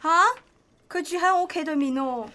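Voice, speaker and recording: A woman's voice: high-pitched, brisk, close to an everyday voice. A woman with a Hong Kong Cantonese accent playing an anxious, suspicious young girlfriend in a radio drama. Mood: frightened, suspicious. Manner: anxious, questioning. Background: prominent music.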